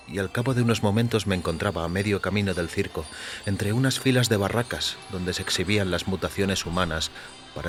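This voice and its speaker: A man giving a measured, literary, dramatic narration of a short story in a deliberate manner. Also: sits low. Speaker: audio fiction narrator.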